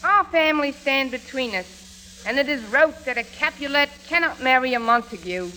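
Brash, high, sharp-voiced comic sweetheart. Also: female voice, animated, moderate pace, poor audio. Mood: melodramatic, shocked. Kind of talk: 1940s radio comedy sketch acting. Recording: old hissy radio recording, audience.

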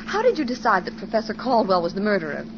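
Teasing young gal in an old radio mystery, really expressive, dull recording with clear hum. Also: female voice, medium pitch, quick pace. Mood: sceptical, curious. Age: young adult. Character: wry, teasing, sceptical young female sleuth's companion. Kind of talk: 1940s American radio mystery acting.

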